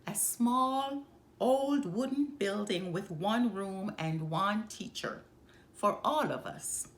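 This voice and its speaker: A woman reading a children's picture book aloud as a storyteller, expressively and with measured, emphatic phrasing. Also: medium pitch.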